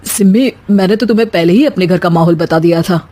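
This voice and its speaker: Woman playing an easygoing young husband, lowered pitch. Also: fast, dark tone, close to an everyday voice. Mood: matter-of-fact.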